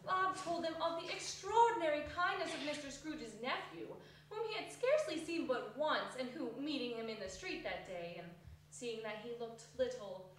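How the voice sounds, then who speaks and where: A woman's voice: sits high, expressive, normal speed, a bit bright. A woman narrating a classic story in a scripted stage-reading style. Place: arts centre hall, stage reading. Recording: very echoey hall, distant, faint noise.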